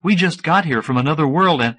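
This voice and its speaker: An anxious woman adventurer in an audiobook novel, voiced by a man, with slightly raised, lively pitch. She speaks in a plaintive, questioning way. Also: brisk, no room sound. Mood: protesting.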